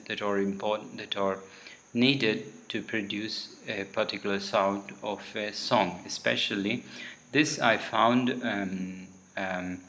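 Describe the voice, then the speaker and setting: A man whose native tongue is Tamil speaks alone to the recording in a low voice. He talks unhurriedly and keeps stopping to hunt for the next word, so his sentences come out in broken pieces. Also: fairly bright tone. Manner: halting, searching for words mid-sentence. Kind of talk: recorded solo talk.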